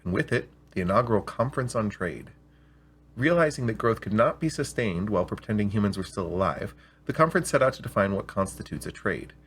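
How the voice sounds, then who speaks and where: A man with a slightly rough voice, hosting and reading scripted stories aloud in an expressive, deliberate way. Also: fairly low pitch. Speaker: storyteller and show host. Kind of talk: scripted story reading with spoken intro.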